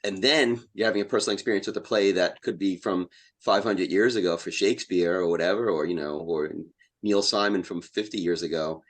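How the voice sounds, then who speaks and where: Low-voiced man, casual and rambling. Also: brisk. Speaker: interview guest, playwright. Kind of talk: casual chat.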